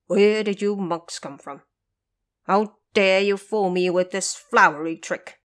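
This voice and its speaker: A woman's voice: medium pitch, a little slow, natural voice. A woman voicing a male pig monster, a reckless brute who turns humble and confessional, in an audio drama story. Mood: brash, indignant.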